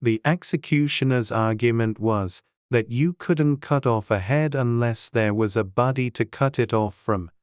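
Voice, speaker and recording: A man gives a measured, scripted storybook narration. His voice is smooth and resonant at a medium pitch, with crisp enunciation. The recording is very dry. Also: darkish tone, no echo. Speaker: audiobook narrator.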